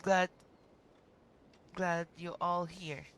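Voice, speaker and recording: A man streaming a video game live, chatty, giggly and excitable. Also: sits high, extremely slow, slight background noise. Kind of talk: casual live-stream chat.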